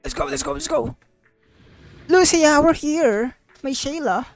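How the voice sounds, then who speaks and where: A woman's voice: high voice, unhurried, creaky, faint music behind. A woman livestreaming a game, chatting casually in a rambling, repetitive, informal way.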